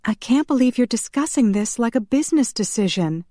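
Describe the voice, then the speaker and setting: Lady doing upset young woman in an audiobook, high voice, tearful n pleading, close dry sound. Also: lively intonation, a little fast, warm tone, dry recording. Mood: indignant, anguished. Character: distraught young vampire woman pleading for her dying father.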